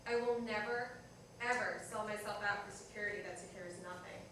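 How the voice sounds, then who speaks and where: A woman's voice: medium pitch, fairly even intonation, a little fast, a bit bright, close to an everyday voice. A woman playing a wistful but resolute waitress full of regrets in a staged reading of a drama. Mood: regretful, resolute. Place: indoor stage reading at an arts venue. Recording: very echoey noisy room, distant mic.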